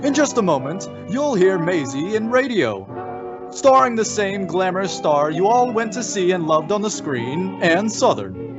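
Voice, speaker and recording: A man's voice: sits high, very animated, unhurried. A man announcing a show in a showy, emphatic 1940s radio style. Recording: dull lo-fi recording with music. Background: music.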